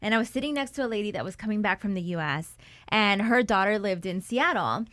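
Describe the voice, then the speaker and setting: A woman's voice: mid-range voice, animated, fast, fairly bright tone, no echo. Woman co-host telling a story. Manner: friendly storytelling. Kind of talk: casual radio chat. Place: radio studio.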